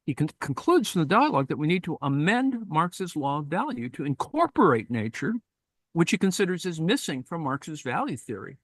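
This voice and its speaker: Man reading aloud with halting commentary. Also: fairly high pitch, big pitch swings, dry recording. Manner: thoughtful, halting, many fillers and asides. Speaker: discussion leader reading aloud. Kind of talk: reading and commenting in a live-streamed discussion.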